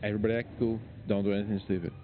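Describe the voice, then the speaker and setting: A man's voice: lowish voice, level tone, rapid-fire. A man improvising in-game roleplay chat as a gamer in an online game. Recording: muffled game voice chat with music.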